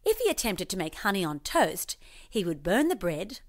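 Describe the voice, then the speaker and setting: A woman reading a scripted children's storybook as parody, expressive and mock-earnest in her storytelling. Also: mid-range voice, moderate pace. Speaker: storybook narrator.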